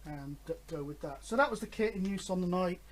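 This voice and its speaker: A man's voice: high-pitched, a little fast, a little hiss or hum. A man in his fifties talking casually and enthusiastically as a hobby vlogger, rambling along with frequent hesitations. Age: 50s. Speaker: host of a radio hobby vlog. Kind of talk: casual, rambling chat to camera.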